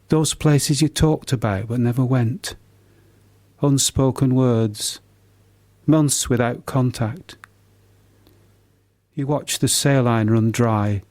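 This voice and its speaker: An older British man, a poet being interviewed, who speaks haltingly and often repeats the starts of his words. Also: mid-range voice, a little mellow. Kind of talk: casual radio interview.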